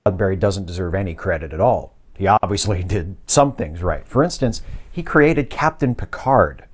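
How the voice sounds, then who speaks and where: A man's voice: low-pitched, expressive, moderate pace, husky. Articulate man presenting scripted commentary. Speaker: video commentator. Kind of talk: scripted video essay commentary.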